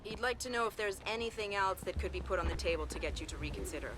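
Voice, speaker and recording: A woman playing a brisk, composed intelligence operative in a video game, with a controlled, businesslike manner. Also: medium pitch, lively intonation, natural voice. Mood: cool, formal. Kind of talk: video game cutscene acting.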